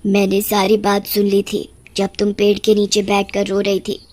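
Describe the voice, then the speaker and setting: A woman voicing a small witch ghost in a cartoon story, sympathetic and quietly vengeful, speaking in a measured, reassuring way. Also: medium pitch, completely flat delivery, pitched below the performer's own voice, close to an everyday voice. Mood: sad, confiding. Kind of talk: animated cartoon story dialogue.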